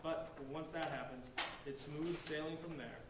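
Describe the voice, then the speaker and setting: A man's voice: on the high side, fairly even intonation, normal speed, lightly acted. Man playing an earnest bookish teenage boy, echoey. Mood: reflective. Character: fifteen-year-old boy, bookish, polite, philosophical outsider. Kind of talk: staged play reading. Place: large echoey indoor room, distant microphone. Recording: echoey room from far off, faint noise.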